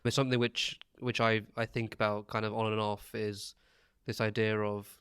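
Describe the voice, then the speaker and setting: A man's voice: lowish voice, flat delivery, normal speed, a bit bright, clear, resonant tone, no room sound, clean studio sound. A man hosting an interview podcast, asking questions in a hesitant way with many restarts. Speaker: podcast host. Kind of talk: interview conversation.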